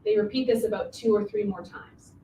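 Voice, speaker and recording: A woman's voice: mid-range voice, steady tone, a little fast, dark tone. Woman narrating from across an echoey room. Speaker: stage directions reader. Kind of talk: scripted narration. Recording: roomy, distant mic, faint noise.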